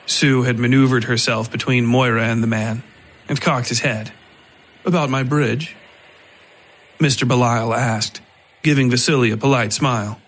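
A man reading scripted dark fiction as the narrator of a story podcast. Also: medium pitch, steady tone, moderate pace. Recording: faint noise behind the voice.

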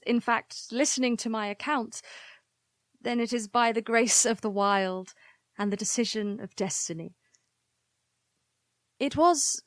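A woman's voice: mid-range voice, dry recording, lightly acted. Woman acting a solemn historian, dry close sound. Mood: reverent. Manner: formal, grave, eloquent. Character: solemn, dutiful female historian of a besieged nation. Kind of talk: formal scripted account in audio drama.

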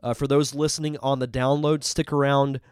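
A man's voice: mid-range voice, little pitch movement, moderate pace, smooth, dry recording, clean studio sound. A man with a congested voice hosting a live podcast, chatting casually and enthusiastically as he reviews a film. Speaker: podcast host.

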